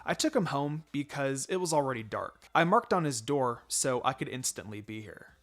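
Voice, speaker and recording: A man's voice: mid-range voice, animated, moderate pace, a bit bright. A man narrating a first-person fan fiction story.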